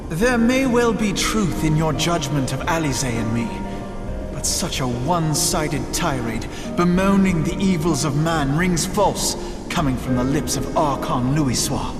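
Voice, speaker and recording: An earnest, scholarly young grandson in a fantasy game. His voice sits fairly high and his archaic phrasing is formal and measured. The sound echoes, with music clearly behind. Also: animated. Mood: challenging. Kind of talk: fantasy game cutscene acting. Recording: echoing space, music behind.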